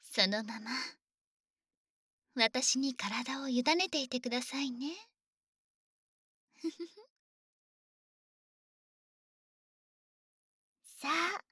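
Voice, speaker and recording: A woman voicing a devoted, formal female dragon maid who dotes on her young master, in a soft, often whispered sleep roleplay. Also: sits high, expressive, takes it slowly, pauses often, husky, no echo, clean studio sound, full character voice. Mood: doting. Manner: formal, doting and gently teasing.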